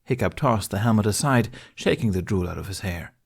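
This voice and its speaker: A man reading scripted fiction aloud as an audiobook narrator, in a measured storytelling manner. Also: on the low side, expressive, creaky, slightly rough.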